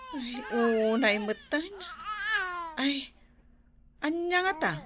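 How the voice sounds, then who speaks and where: A woman playing a soft-hearted, baby-doting younger village woman in an Ilocano comedy drama, cooing and pleading. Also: high-pitched, lively intonation, drawn-out words, crowd noise, full character voice.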